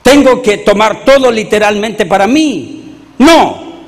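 A Chilean man, a pastor, preaching a sermon with animated rhetorical questions to his congregation. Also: high voice, slow pace, creaky, long reverb tail.

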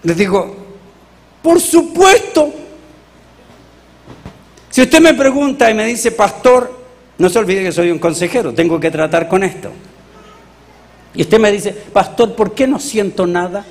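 A Chilean man, a pastor, preaching a sermon with animated rhetorical questions to his congregation. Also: high voice, unhurried, pauses often, long reverb tail.

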